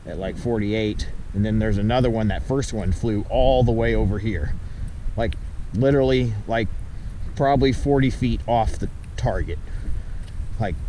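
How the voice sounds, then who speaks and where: A man's voice: lowish voice, darkish tone. A man giving a casual, matter-of-fact product review and test outdoors, talking as the reviewer. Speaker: toy blaster reviewer. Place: outdoors in a yard by a pond. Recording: faint outdoor background noise.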